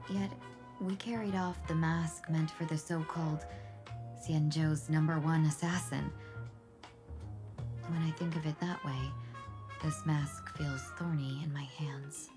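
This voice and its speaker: A woman voicing a polite, dutiful young female envoy in a video game, measured and formal. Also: low voice, fairly even intonation. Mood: thoughtful, grave. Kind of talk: dramatic video game voice acting. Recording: music behind the voice.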